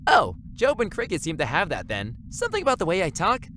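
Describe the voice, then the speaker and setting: A woman's voice: fairly low pitch, wildly swooping pitch, fast talker, fairly bright tone, a little hiss or hum. A woman playing a cheery, self-satisfied, chatty party planner in an audio drama. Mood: cheery, pleased. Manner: bubbly, chatty.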